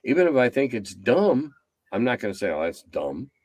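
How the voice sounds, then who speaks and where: A man's voice: sing-song, normal speed, no room sound. A man in his seventies, a game designer chatting bluntly and ramblingly as an interview guest. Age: 70s. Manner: blunt, rambling, drops low and quiet as he winds down. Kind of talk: casual interview chat.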